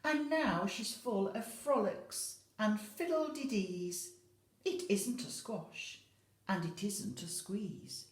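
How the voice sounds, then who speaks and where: A woman reads a story as an infant school teacher would. Her pitch rises and falls widely and her pace is slow, with explanations and questions for young listeners. The room has a clear echo. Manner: expressive, explaining and questioning as if to small children. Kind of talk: animated children's storytelling with asides. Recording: echoey room.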